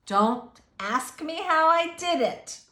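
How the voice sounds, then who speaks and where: Mister Carp, slow, admonishing man, read by a woman. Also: very high-pitched, lively intonation, bright, forceful tone, echoey room, voice pushed up, full character voice. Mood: firm warning. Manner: deliberate, scolding, instructive. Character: Mister Carp, knowing, admonishing man who rescues fish.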